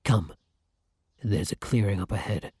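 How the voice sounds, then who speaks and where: A man's voice: fairly low pitch, measured pace, a little mellow, slightly rough, no room sound, clean studio sound. A man playing a devoted, old-fashioned vampire in an ASMR roleplay, speaking formally and with flowery affection. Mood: calm, reassuring. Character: courtly, devoted gentleman vampire, male, tender and old-fashioned. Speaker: roleplay voice actor. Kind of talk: ASMR roleplay acting addressed to the listener.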